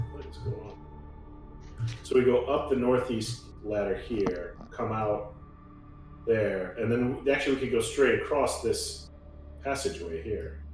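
Male gamer, fairly low, casual, far off in a very roomy echo. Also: a little mellow. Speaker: player in a tabletop roleplaying game. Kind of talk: casual chat. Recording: very echoey, distant from the mic.

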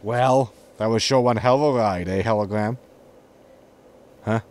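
A man's voice: on the low side, a little slow, a little mellow, lightly acted. A man playing a sarcastic, casual, grumbling spaceship captain in a sci-fi comedy audio drama. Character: flippant, grumbling male starship captain.

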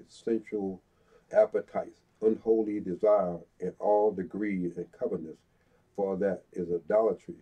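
A middle-aged man with a stuffy nose, slowly reading scripture aloud as a Bible teacher, pausing between phrases. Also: on the low side. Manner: slow, deliberate reading with pauses, stuffy-nosed. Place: small room before a brick wall. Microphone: fixed camera, head and shoulders. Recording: dull lo-fi sound.

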